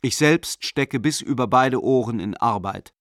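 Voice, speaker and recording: An actor playing a zealous, coldly formal German banker in a radio play, reading a letter in a measured, self-righteous, declamatory way. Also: male voice, medium pitch, a bit bright, no room sound, studio quality. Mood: coolly self-justifying.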